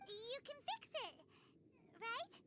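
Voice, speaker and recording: A woman voicing a small, childlike, excitable fairy companion in a video game, with a squeaky, blunt, exclamatory delivery. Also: takes it slowly, heavy background noise, exaggerated voice. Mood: curious. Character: small childlike fairy companion girl, excitable, nosy, refers to herself by name. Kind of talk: video game character dialogue.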